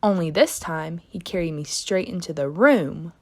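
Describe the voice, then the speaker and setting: A woman narrating a children's book aloud in an expressive, dramatic storytelling style. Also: lowish voice, moderate pace.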